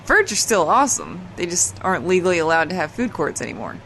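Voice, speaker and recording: A woman's voice: on the low side, sing-song, fast talker, fairly bright tone, slight background noise, poor audio. An actress playing a brash, sardonic woman in a casual, slangy comedy audio drama. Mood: dry, casual. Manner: sarcastic, casual, slangy, swearing. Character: brash, foul-mouthed, sardonic woman from another timeline.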